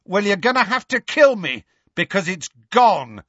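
A woman's voice: mid-range voice, sing-song, measured pace, slightly rough, dry recording, muffled recording, pitched above the performer's own voice. A woman playing a defiant teenage boy wizard from a storybook, taunting and mocking in a raised voice. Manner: shouting, mocking.

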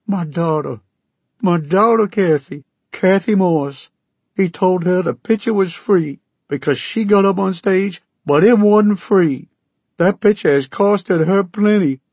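A man voicing a big, furious, weeping middle-aged farmer from Oklahoma who shouts in a twangy Oklahoma accent. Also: high-pitched, unhurried, breathy edge, no echo, voice pushed up, full character voice. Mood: enraged.

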